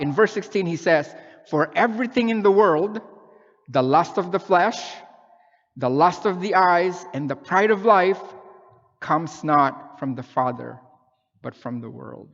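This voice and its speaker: A man from the Philippines preaches in a relaxed, conversational sermon style and keeps checking in with his listeners. His voice sits a little higher than most men's. The recording is dull and muffled, with a long hall reverb trailing behind his words. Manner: conversational preaching, frequent "okay?" and "right?" check-ins. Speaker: pastor.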